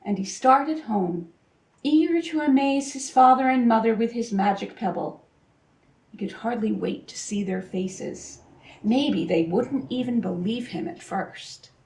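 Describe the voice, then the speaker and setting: A woman with a fairly high voice reads a children's story aloud in a very echoey room. She phrases it expressively and deliberately, pausing for emphasis, a little way from the microphone. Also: a little mellow. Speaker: storybook reader. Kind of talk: expressive children's picture-book read-aloud. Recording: roomy echo, distant microphone.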